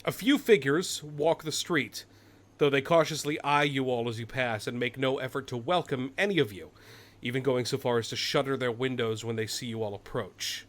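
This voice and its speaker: A man's voice: medium pitch, moderate pace, fairly bright tone. A man running a tabletop fantasy horror game as game master, moving between casual table talk and atmospheric description.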